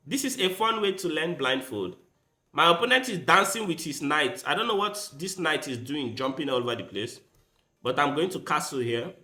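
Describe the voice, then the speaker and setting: Male chess streamer, Igbo first language, bright lively delivery, unhurried, echoey room. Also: fairly high pitch. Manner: thinks aloud while playing, with quick bursts of excitement. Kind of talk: casual live-stream commentary.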